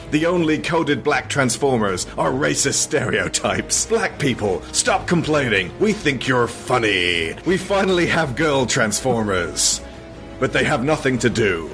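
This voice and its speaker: A man hosting a podcast, reading a comic scripted recap in a mock-solemn, deadpan way with casual asides. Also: mid-range voice. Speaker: podcast host.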